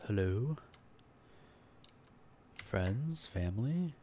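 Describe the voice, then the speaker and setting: A man's voice: fairly low pitch, expressive, drawn-out words, a little hiss or hum. A man hosting a casual let's play, chatting in a laid-back way with jokey asides. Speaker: gaming channel host. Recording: loud game music behind voice.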